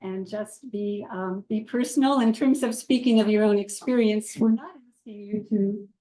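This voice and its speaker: A woman moderating a community panel, welcoming a live audience in a gentle, measured and slightly hesitant way. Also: medium pitch, fairly even intonation. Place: community meeting room with a seated circle. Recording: roomy room sound.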